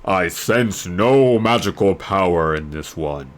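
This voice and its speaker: A man acts out a solemn enchanted mirror from a fantasy storybook. It pronounces judgement ominously, stretching each word out very slowly. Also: low voice, animated. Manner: slow, drawn-out, ominous pronouncements.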